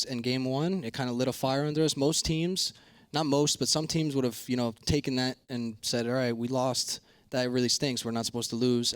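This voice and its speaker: A man speaks very quickly in a close, dry recording, his voice a little raspy and hoarse. He talks in a loose, slangy way, like a competitor being interviewed and breaking down the games. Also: mid-range voice, no room sound. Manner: informal, slangy. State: slightly hoarse. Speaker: pro esports player interviewed as guest analyst. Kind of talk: casual post-match interview and analysis.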